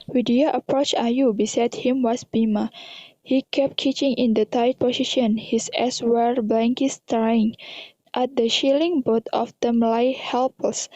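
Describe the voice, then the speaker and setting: Young woman, Indonesian accent, story narrator, high soft-toned voice, muffled lo-fi sound. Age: young adult. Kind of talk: scripted story narration, read out. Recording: muffled, roomy home recording.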